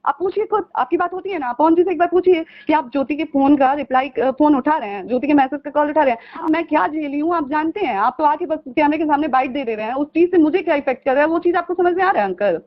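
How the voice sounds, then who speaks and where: A woman's voice: sits high, fast, hardly any pauses. An upset young woman on a phone call, arguing insistently and pleading, often repeating herself, in a heated conversation. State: upset, aggrieved. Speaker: caller. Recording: muffled lo-fi phone call recording.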